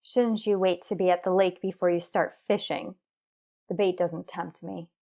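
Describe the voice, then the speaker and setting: A woman playing a worried but composed mother and wife in an old-fashioned radio soap, with proper, deliberate phrasing. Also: lowish voice, steady tone, dark tone, natural voice. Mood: cool, indignant.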